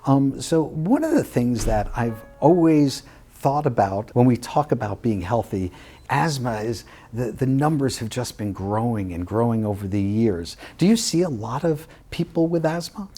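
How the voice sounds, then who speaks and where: Mellow-voiced friendly TV interviewer man. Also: mid-range voice, lively intonation, moderate pace, soft background music. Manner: friendly, curious questioner. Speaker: talk show host. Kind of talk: conversational TV interview.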